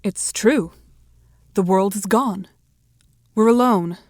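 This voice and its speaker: A woman playing a grim, formal, wordy girl in an audio drama, speaking in a measured, gloomy manner. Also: mid-range voice, darkish tone, dry recording, studio quality, faint music behind. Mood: fatalistic. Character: grim, formal, wordy girl given to doom-laden speeches. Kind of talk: audio drama character acting.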